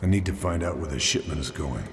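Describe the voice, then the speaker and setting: An actor playing a gruff, stoic wartime lieutenant and covert operative in a video game, speaking in a terse, understated way. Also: male voice, very deep voice, quick pace, background noise. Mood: low, focused muttering. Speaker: player character and narrator. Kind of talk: game cutscene acting and scripted narration.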